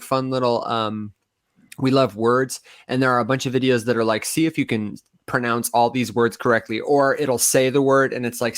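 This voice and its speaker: A man with a nasal, reedy voice and a slight Michigan accent, co-hosting a casual chat and telling animated stories with frequent laughter. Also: medium pitch, dry recording, studio quality. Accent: Michigan, mostly neutral American. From Las Vegas, raised in Michigan. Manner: animated storyteller, laughs often. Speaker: co-host.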